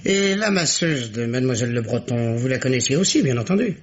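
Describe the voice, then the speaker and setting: An actor playing an insistent, skeptical deputy police detective in a French radio mystery, with an insinuating, mock-polite way of talking. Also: male voice, medium pitch, lively intonation, brisk, natural voice. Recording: dull, noisy old radio recording.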